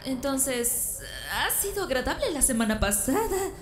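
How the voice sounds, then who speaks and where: A woman's voice: high voice, very animated, unhurried, a little hiss or hum. A woman with a Latin American Spanish accent playing an uneasy, frightened young heroine in a video game fan dub, anxious and pleading. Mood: wary. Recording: echoey, under music.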